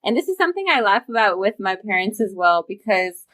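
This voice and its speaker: A woman's voice: medium pitch, very animated, brisk, a bit bright. A young woman from Romania, a podcast guest talking eagerly and chattily over a remote call, laughing easily. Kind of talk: casual interview chat.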